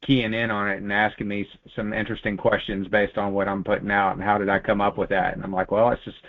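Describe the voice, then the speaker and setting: A man's voice: on the low side, little pitch movement, fast, vocal fry. A man chatting casually on a conference call. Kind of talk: casual chat. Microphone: telephone conference call. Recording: thin phone-line conference call audio.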